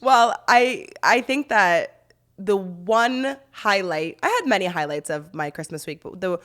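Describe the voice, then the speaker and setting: A woman's voice: medium pitch, expressive, a little slow, a bit bright. A woman with a touch of sniffles, co-hosting a podcast with giggly, teasing banter. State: stuffy with sniffles. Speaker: podcast co-host. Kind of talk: casual chat.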